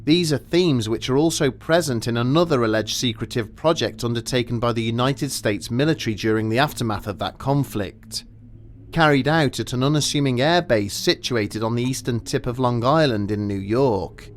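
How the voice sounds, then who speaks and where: A man narrating a scripted documentary story in a measured, grave and formal manner. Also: mid-range voice, slight background noise.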